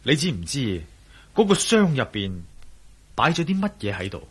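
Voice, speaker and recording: An actor with a Hong Kong Cantonese accent playing a curious, teasing, easygoing adventurer in a radio drama. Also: male voice, mid-range voice, sing-song, moderate pace, fairly bright tone, husky. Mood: earnest. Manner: teasing, inquisitive. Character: curious, easygoing male adventurer, wry traveler.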